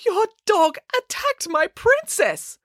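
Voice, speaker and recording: A woman's voice: very high-pitched, big pitch swings, a bit bright, no room sound, clean studio sound, voice pushed up, full character voice. A woman voicing a melodramatic, wealthy older lady who wails and shrieks theatrically in a story. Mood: outraged. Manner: shrieking, theatrical, drawn-out exclamations. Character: wealthy older woman, melodramatic, wailing, doting cat owner.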